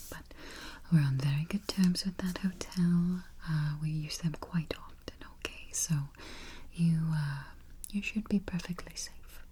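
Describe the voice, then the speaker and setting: A woman whispering softly and calmly in an ASMR roleplay as a vampire travel agent, close to the microphone. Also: low voice, a little hiss or hum. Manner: soft whispering, unhurried and reassuring. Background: keyboard typing and taps.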